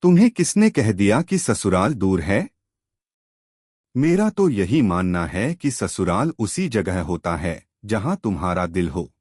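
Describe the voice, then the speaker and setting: Man reading as a gentle husband, low-pitched. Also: sing-song, measured pace, dry recording, clean studio sound. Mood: affectionate. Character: gentle, loving young husband. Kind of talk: storybook dialogue.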